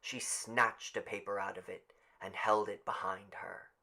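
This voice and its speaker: A man's voice: on the low side, little pitch movement, unhurried, vocal fry, sharp tone. A man narrating literary fiction as a scripted audiobook reading.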